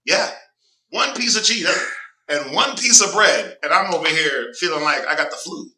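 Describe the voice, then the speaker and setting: A man's voice: a little high, expressive, normal speed, bright, forceful tone. A man with a Southern US accent and a stuffy nose teaching a casual Bible class, prompting a reader and joking with the group. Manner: conversational, prompts reader with "go ahead", jokes. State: stuffy nose, clearing throat. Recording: echoey room, distant mic.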